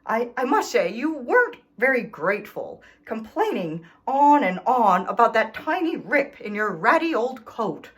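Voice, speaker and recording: Woman as melodramatic storybook dog, aggrieved, emphatic, high-pitched, slow, very animated. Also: heavily acted. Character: indignant, self-pitying, melodramatic male dog writing letters home. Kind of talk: expressive children's storybook read-aloud.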